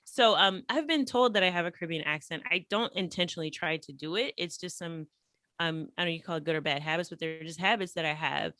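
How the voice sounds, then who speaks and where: A woman from the United States tells stories as a podcast guest, animated and full of fillers. Her voice sits a little low. The sound is dry, with no room echo. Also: quick pace. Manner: animated, chatty, many fillers. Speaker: podcast guest, language teacher. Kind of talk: casual interview storytelling.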